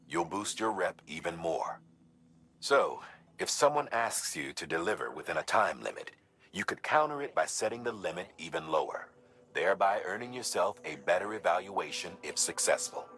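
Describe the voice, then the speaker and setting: An actor playing an authoritative commander in a video game, giving an encouraging, businesslike briefing. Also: male voice, low voice, fairly bright tone. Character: authoritative male commander briefing a field courier.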